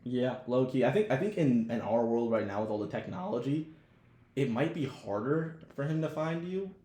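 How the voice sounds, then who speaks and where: A man's voice: mid-range voice, lively intonation, moderate pace, mellow. A young American man chatting casually as a podcast co-host, with loose, jokey, slangy talk. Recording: roomy echo.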